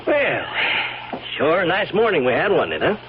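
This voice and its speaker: An actor with a rural American accent playing a sly, insinuating blackmailer in a radio drama, all folksy chumminess over quiet menace. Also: male voice, fairly high pitch, wildly swooping pitch, moderate pace. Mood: chummy, sly. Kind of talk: old-time radio drama acting. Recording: dull, noisy old radio recording.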